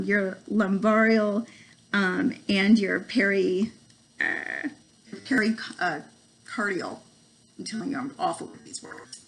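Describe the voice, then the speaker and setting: A woman in her forties with a cold, losing her voice, giving a halting home video lesson full of ums and stumbling over medical terms. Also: medium pitch, creaky, fairly bright tone, poor audio. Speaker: student presenter. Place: small room at home, indoors. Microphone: laptop webcam.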